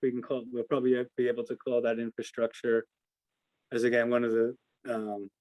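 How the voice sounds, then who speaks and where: A man's voice: medium pitch, little pitch movement, fairly quick, a little mellow, dry recording, rough recording. An American man giving informal analysis as an investment adviser answering questions on a call, hesitant and hedging as he thinks aloud.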